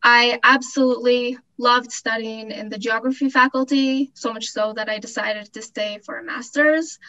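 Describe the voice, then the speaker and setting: A woman's voice: fairly high pitch, steady tone, moderate pace, pushed and bright, muffled recording. A woman in her twenties from Costa Rica, a graduate student giving an informal, enthusiastic talk at an information session. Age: 20s. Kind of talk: informal testimonial talk at an online info session.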